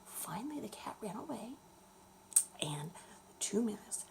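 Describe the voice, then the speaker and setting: Animated woman storyteller, unhurried pace. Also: medium pitch, creaky, a little hiss or hum. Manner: expressive, deliberate, explaining to young listeners. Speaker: children's storyteller. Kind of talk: bedtime storytelling for children.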